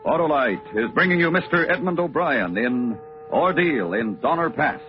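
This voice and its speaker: A man gives a dramatic, old-fashioned radio introduction. His voice is a little high. Music plays clearly behind him on a dull, aged recording. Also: lively intonation, moderate pace. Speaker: radio show announcer. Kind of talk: 1940s-50s radio announcing, dramatic presentation.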